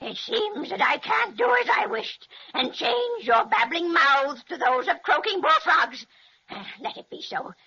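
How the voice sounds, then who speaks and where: An actress playing a wicked, spiteful old witch in a 1930s radio serial, cackling and shrill. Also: expressive, measured pace, pushed and bright, full character voice. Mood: taunting. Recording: dull lo-fi 1930s radio recording.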